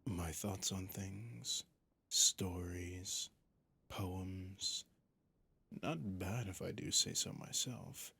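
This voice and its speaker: Ancient vampire captor, male voice actor, ASMR audio drama. Very unhurried, creaky, whispery delivery; sadistic, mocking, theatrical. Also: lowish voice, a bit bright, exaggerated voice. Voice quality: breathy, gravelly. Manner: slow, theatrical, condescending, often dropping to a whisper.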